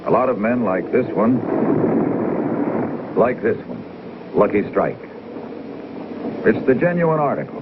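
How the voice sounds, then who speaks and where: A man's voice: fairly low pitch, very animated, a little slow, pauses often. A man delivering scripted narration for a television commercial. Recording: noisy muffled old 1950s commercial.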